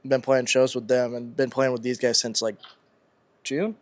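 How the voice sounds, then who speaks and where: Easygoing twenty-year-old metal guitarist. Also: male voice, mid-range voice, flat delivery, normal speed, no room sound. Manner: easygoing, laughs often, swears casually. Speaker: guest guitarist. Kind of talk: casual chat.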